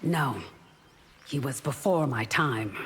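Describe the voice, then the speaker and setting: A slow, severe royal guard. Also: female voice, a bass voice. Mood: curt. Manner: curt, disdainful, severe. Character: stern, proud female royal guard warrior. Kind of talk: video game character banter. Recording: long hall reverb, faint background noise.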